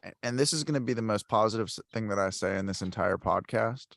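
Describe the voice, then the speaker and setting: A man's voice: on the low side, fast talker, creaky, no room sound. Rambling man telling stories, dry sound. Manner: rambling, animated storytelling with many fillers. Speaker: podcast host. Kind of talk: casual chat.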